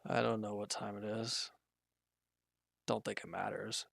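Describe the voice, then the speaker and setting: A man playing an injured, weary villain in a roleplay audio drama, guarded and quietly considerate, speaking in halting, hesitant lines. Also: level tone, vocal fry, no room sound, studio quality, heavily acted. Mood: weary, reluctant. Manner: hesitant, halting, low-key, grudging. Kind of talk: roleplay audio drama, intimate one-sided dialogue.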